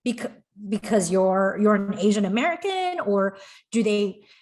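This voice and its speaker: A woman's voice: medium pitch, very animated, a little slow, darkish tone. Supportive woman listening in. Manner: supportive listener, frequent short affirmations. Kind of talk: casual conversation.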